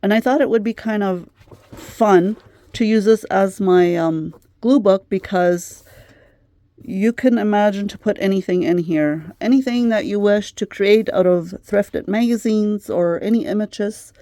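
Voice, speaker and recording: Mellow middle-aged lady chatting on her craft vlog, bit of a tired voice, lots of ums, dry close sound. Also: mid-range voice, a little slow, dry recording. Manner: gentle, chatty, many fillers. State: slightly losing her voice. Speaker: craft channel host. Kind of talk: casual craft vlog chat.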